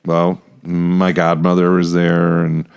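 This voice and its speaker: A man's voice: deep voice, completely flat delivery, slow pace, a little mellow, clear, resonant tone. An American man in his fifties chatting casually as a podcast host. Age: 50s. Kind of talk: casual conversation.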